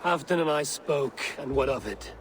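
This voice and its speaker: An actor playing a stoic, steadfast Viking raider hero in a video game, speaking in a measured, plain, firm way. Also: male voice, fairly high pitch, natural voice. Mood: firm, defiant. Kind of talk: dramatic video game cutscene acting. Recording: game audio with faint background noise.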